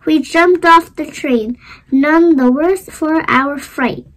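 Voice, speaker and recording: Storybook reader, child, very high voice, unhurried deliberate phrasing, expressive read-aloud. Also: low-quality recording.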